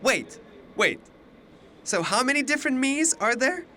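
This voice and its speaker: A man's voice: very high voice, big pitch swings, unhurried, sharp tone, slight background noise, exaggerated voice. A man playing a bewildered, skeptical young university student in an audio drama, questioning and incredulous.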